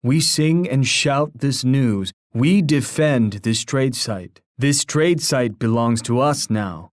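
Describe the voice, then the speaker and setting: An actor voicing a solemn, dignified war leader in a video game, speaking in grave, formal, poetic oratory. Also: male voice, mid-range voice, expressive, no room sound, studio quality. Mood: resolute, proud. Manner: measured, grave, formal declamation.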